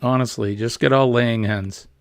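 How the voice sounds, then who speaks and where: A man's voice: medium pitch, fairly even intonation, moderate pace, dry recording, clean studio sound, faint music behind. A man hosting a casual online chat show, reading viewer comments aloud and chatting loosely. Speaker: host.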